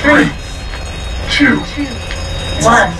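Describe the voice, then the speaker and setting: A woman playing a calm automated announcer in a video game, giving formal announcements in a measured, solemn, detached way. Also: on the high side, loud music behind the voice, rough recording.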